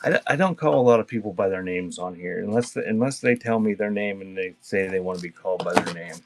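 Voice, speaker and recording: A man's voice: sits low, fast talker. A man chatting casually as a live-stream auction seller, rambling and teasing as he reads out items for sale. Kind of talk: casual live selling chat, reading out cassette titles. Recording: clearly noisy background with clicks.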